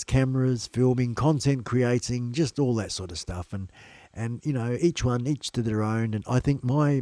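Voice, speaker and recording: A man's voice: mid-range voice, dark tone. A man with a blocked nose, hosting a casual live stream in an upbeat, loose, repetitive way. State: blocked nose, a bit nasal. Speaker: livestream host. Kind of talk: rambling casual live chat. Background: faint music in the background.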